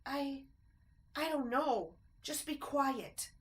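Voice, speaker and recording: A woman voicing a timid, anxious little boy mouse in a children's storybook, pleading in a high voice. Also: lively intonation, very slow, exaggerated voice. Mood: scared.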